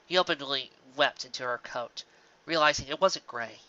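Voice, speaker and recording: Male storyteller, mid-pitched, sharp tense tone, emotive dramatic reading, lo-fi home sound. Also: moderate pace. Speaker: narrator. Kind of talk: dramatic scripted fan-fiction narration. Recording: dull lo-fi home recording.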